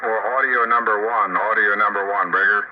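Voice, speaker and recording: A man's voice: on the low side, steady tone, fairly quick. A man hosting a radio round-up in casual, conversational chat over a two-way radio. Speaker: amateur radio net host. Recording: noisy, muffled two-way radio transmission.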